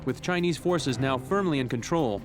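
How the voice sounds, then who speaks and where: A man's voice: medium pitch, normal speed, lightly acted. Actor as male newsreader over music. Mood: urgent. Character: urgent male television war news reporter. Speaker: news reporter. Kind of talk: scripted news bulletin in a video game.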